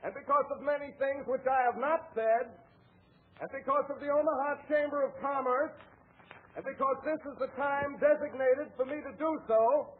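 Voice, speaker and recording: A man's voice: extremely high pitch, fairly even intonation, moderate pace. An actor playing a pompous, long-winded convention orator, declaiming his speech in 1940s radio comedy style. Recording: tinny, noisy 1940 radio broadcast.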